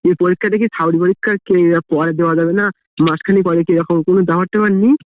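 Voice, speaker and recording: A man's voice: high-pitched, steady tone, very fast, dry recording. Flustered young man on a tinny phone. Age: young adult. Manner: flustered, repetitive, plaintive. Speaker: friend receiving a prank call. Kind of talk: casual phone chat. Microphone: phone line. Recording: tinny, phone-like call audio.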